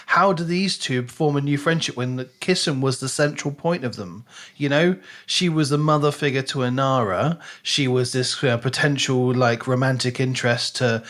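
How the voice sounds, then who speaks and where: A man's voice: medium pitch, moderate pace, a bit bright. A man co-hosting a book podcast in casual discussion, often voicing imagined reactions as he talks.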